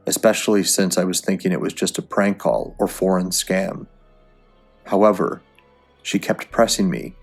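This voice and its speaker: A man narrating a first-person creepy story in a calm, measured way. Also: deep voice, gravelly.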